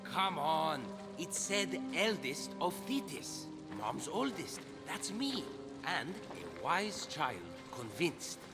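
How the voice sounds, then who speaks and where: A man's voice: fairly high pitch, very animated, slow, music in the background, exaggerated voice. A man acting a cocky, charming young hero, a big brother with a self-assured, breezy manner, in a video game. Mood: confident, persuasive.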